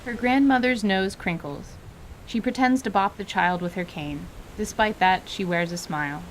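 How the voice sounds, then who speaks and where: A woman narrating a scripted radio theater story in a measured, descriptive manner. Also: medium pitch, slight background noise, poor audio.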